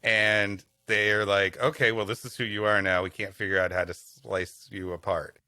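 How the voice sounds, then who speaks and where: A man co-hosting a comedy podcast, riffing casually in an animated, mock-indignant way. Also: fairly low pitch, normal speed, clear, resonant tone, no echo. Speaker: podcast co-host. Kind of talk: casual comic banter and riffing.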